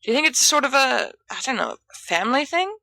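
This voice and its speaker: A woman's voice: high voice, very animated, fairly quick, vocal fry, pushed and bright, no room sound, voice pushed up, full character voice. A woman voicing a confident, bossy teenage schoolboy who thinks aloud casually in an audiobook story. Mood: casual musing. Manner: casual, half-finished musing sentences.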